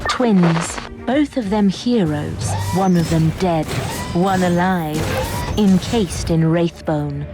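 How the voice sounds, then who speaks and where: A woman's voice: lowish voice, music in the background. A woman playing a cryptic, sorrowful alien seer in a video game, speaking in solemn, ceremonial poetry. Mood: mournful, cryptic. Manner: slow, prophetic, ceremonial. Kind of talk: video game cutscene acting.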